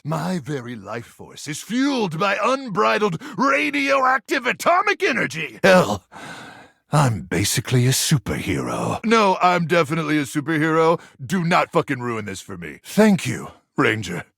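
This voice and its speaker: A man voicing a macho, melodramatic microwave who acts like a gung-ho action hero in a video game, with a gravelly, husky voice and bombastic, theatrical delivery. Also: sits high, sing-song, a little slow, dry recording, studio quality, exaggerated voice. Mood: pumped up, then solemn gratitude. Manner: bombastic, theatrical swings from brooding to shouting. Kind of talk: video game character acting.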